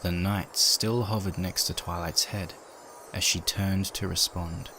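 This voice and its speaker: A man's voice: low-pitched, a little slow. A man reading a scripted story as narrator, in the manner of a dramatic audiobook. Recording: steady ambient noise behind.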